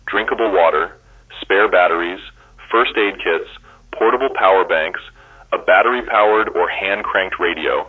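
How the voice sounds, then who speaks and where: A man playing a grave emergency alert announcer, reading formally and deliberately through a radio filter. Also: deep voice, breathy edge. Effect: radio transmission filter. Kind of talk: scripted emergency broadcast reading. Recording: tinny, muffled emergency radio transmission.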